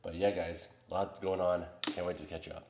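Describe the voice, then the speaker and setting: A man's voice: sits low, little pitch movement, brisk, gravelly, very noisy. An American man with a congested, nasal voice chats casually as a vlogger, rambling in informal, slangy language. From United States. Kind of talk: casual vlog chat.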